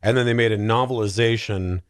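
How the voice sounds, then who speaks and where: A man in casual, comedic podcast chat, telling things in an animated, halting way with plenty of filler likes. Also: on the low side, clear, resonant tone, dry recording, clean studio sound. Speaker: podcast panellist.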